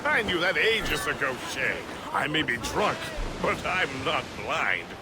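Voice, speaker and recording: A tipsy, witty gentleman for a video game. His voice sits fairly high and swings animatedly through flowery phrases. Loud ambient noise surrounds him. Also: sing-song, measured pace. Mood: amused, chatty. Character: jovial tipsy gentleman companion, theatrical and witty.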